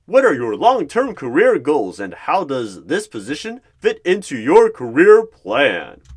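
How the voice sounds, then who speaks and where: A man playing a boastful, over-excited job recruiter who announces interview lines like a game show host in a roleplay. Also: fairly high pitch, a little slow, breathy edge, voice pushed up, heavily acted. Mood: booming enthusiasm.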